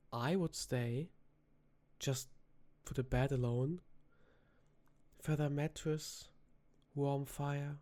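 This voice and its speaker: Slow, soft-spoken flirty vampire bartender acted by a man. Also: medium pitch. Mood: reassuring, playful. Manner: teasing, unhurried, seductive. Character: flirty, charming, teasing vampire bartender, patient predator. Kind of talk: soft-spoken ASMR roleplay.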